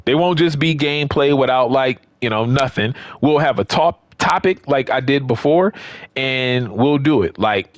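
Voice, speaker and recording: A man's voice: medium pitch, moderate pace, dry recording, studio quality. Casual, rambling man chatting to viewers. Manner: conversational, rambling, repeats phrases for emphasis. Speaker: YouTube host of a wrestling game and action figure channel. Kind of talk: casual unscripted chat to viewers.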